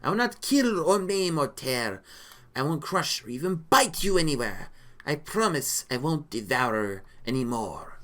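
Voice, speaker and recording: A woman's voice: low voice, big pitch swings, a bit bright, exaggerated voice. A woman voicing a big ugly lizard man, a pompous storybook villain who talks in grandiose, theatrical, pleading exclamations. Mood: earnest, pompous. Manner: grandiose, melodramatic, drawn-out exclamations.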